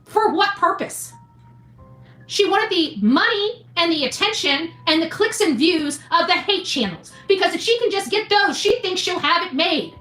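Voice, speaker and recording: Female livestream host, indignant and blunt, animated bright delivery, scolding opinion rant with repetition. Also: very high-pitched, moderate pace. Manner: indignant, scolding, repeats phrases for emphasis; blunt, mildly profane. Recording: echoey room, faint music behind.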